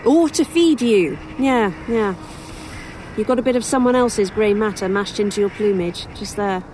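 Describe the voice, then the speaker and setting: A weary woman, voiced by an actress, talks in an audio drama with dry, defiant sarcasm. Her delivery is hesitant at times and her voice is mid-pitched. Faint outdoor ambience and cawing crows sit behind her. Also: moderate pace, a little mellow, a little hiss or hum, close to an everyday voice. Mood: wry, resigned. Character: weary, sardonic human woman, dryly defiant. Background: outdoor ambience, crows, vehicles.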